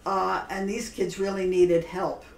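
A woman's voice: lowish voice, level tone, unhurried. Older lady remembering stuff, trails off a lot, echoey room with a faint hum. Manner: reflective, trailing off mid-sentence. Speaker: interviewee. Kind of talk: oral history conversation. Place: living room, indoors. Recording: roomy home recording, faint background hum.

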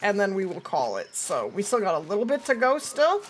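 A woman's voice: mid-range voice, very animated, brisk, slightly rough. A woman in her thirties livestreaming a game in casual, chatty talk, her voice strained by a cold. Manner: chatty, talking to viewers and to the game. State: has a cold, losing her voice. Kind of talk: casual livestream gameplay chat. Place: indoors at a streaming setup.

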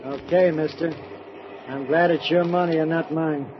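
An actor playing a chatty, nosy working-class cab driver with blunt, slangy talk, on an old radio drama recording. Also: male voice, fairly high pitch, fairly even intonation, moderate pace, lo-fi sound, noisy background, close to an everyday voice. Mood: gabby.

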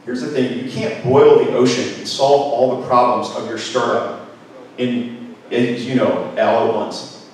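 A middle-aged man giving a lively workshop talk on a handheld mic in a conference room, asking the audience questions. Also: medium pitch. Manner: energetic, gestures, asks the room questions. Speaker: business speaker. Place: conference room with projector screen. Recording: echoey room from far off, faint noise.

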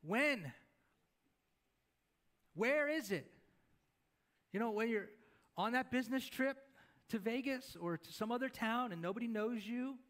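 A middle-aged pastor with a fairly high voice preaches on a church stage. He talks conversationally, tossing questions to the congregation and gesturing as he moves. His voice comes through a headset mic. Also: expressive, a little slow, long pauses, a bit bright. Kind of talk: sermon.

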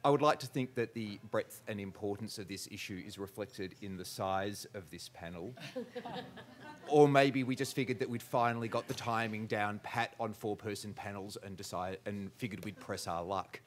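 Wry male panel moderator, lowish voice. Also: a little hiss or hum. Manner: dry, wry humor. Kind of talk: conference moderation. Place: large lecture hall.

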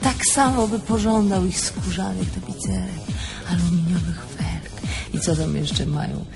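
An actress playing the mocking, blunt and crude-tongued soul of a dead young thief in a theatrical tragifarce. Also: female voice, fairly low pitch, fairly even intonation, a little slow, gravelly, darkish tone, soft background music.

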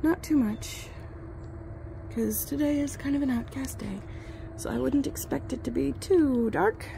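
A woman vlogger sick with the flu, her voice gravelly, chatting in a rambling, self-deprecating way while reviewing glasses at home. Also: high voice, a little slow, mellow, slight background noise. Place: home, indoors and outdoors in yard.

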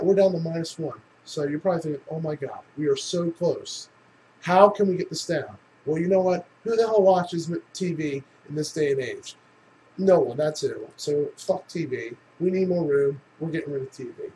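A man's voice: on the high side, a little mellow, a little hiss or hum, low-quality recording. A man giving a casual comedic tutorial in a tongue-in-cheek, mock-instructional way with casual swearing. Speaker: tutorial presenter.

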